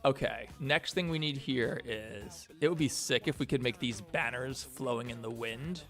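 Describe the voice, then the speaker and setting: A man with a New York accent and a mid-range voice streams while he works. He talks in a loose, slangy, animated way, joking and swearing freely. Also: medium pitch, moderate pace, faint music behind. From Queens, New York. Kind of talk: casual reacting and chat while working.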